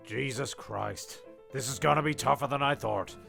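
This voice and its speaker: A man's voice: mid-range voice, normal speed, gravelly, fairly bright tone, faint music behind. A man performing rambling comic storytelling and sketch dialogue in a dry, exasperated way. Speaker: narrator and writer of a comedy show.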